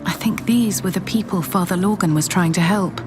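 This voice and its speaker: A woman's voice: lowish voice, moderate pace, music in the background, lightly acted. A woman playing a determined, direct adventurer hero in a video game. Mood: resolute. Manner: direct, questioning.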